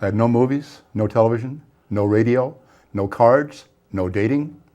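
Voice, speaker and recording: A man's voice: medium pitch, dark tone. Wry Scottish-born man telling stories. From Scotland. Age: 60s. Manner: anecdotal, wry, brisk. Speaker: guest author and songwriter. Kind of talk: casual interview storytelling. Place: small TV studio set. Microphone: lapel mic.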